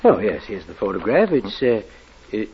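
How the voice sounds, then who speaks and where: An actor playing an ailing elderly reverend, earnest and anxious, in 1940s radio drama style. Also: male voice, on the low side, sing-song, moderate pace. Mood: earnest, welcoming. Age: older. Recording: muffled old radio, faint noise.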